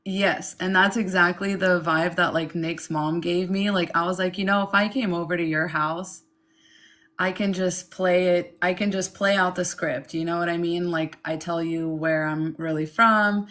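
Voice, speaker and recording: A young woman from Ukraine, a guest on a casual podcast chat, speaking candidly and in an animated way. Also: lowish voice, normal speed. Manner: candid and animated, mocks others in quoted asides. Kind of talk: casual conversation.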